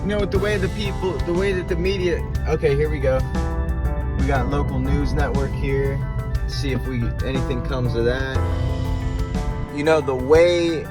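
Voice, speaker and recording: An American man from eastern Ohio giving casual vlog commentary while driving, in halting fragments that trail off. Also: fairly high pitch, steady tone. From eastern Ohio, USA. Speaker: vlogger. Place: inside a moving car. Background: music and vehicle sound.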